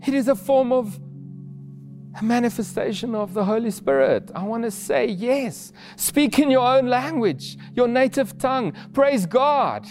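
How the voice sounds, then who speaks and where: A man whose first language is Afrikaans, preaching an earnest sermon with restarts and soft laughs. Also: very high-pitched, lively intonation, a little slow, faint music behind.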